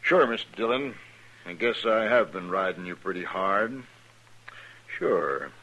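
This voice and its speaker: An actor playing a swaggering gunman with a slow, mocking drawl, in 1950s radio drama style. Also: low voice, expressive, bright, forceful tone, lo-fi sound, heavily acted. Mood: sly. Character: swaggering male gunman, turning wary.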